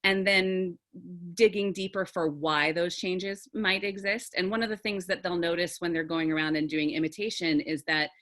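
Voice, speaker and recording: A woman theatre teacher with a low, authoritative register, explaining things thoughtfully in an online group discussion. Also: steady tone, moderate pace, a bit bright. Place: video call.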